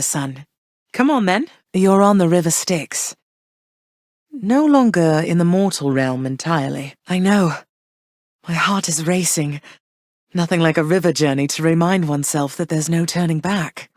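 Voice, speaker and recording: An actress voicing Persephone, a gentle, wistful queen of the underworld and a mother, in soft, measured, tender tones. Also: on the low side, no room sound, clean studio sound, natural voice. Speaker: game character. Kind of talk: game voice lines.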